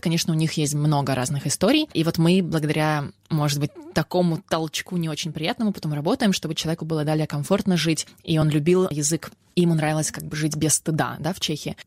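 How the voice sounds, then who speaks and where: A woman's voice: low-pitched, darkish tone, dry recording, clean studio sound. A woman from Russia in her thirties, answering interview questions at length in a reflective, talkative way.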